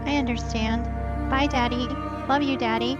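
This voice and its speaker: Audio drama role: actress as meek, childlike vampire daughter, high-pitched and plaintive, muffled lo-fi sound over music. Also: female voice, fairly even intonation, normal speed, close to an everyday voice. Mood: affectionate. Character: hungry, meek young vampire daughter, obedient and clinging. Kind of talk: dramatic audio theatre acting.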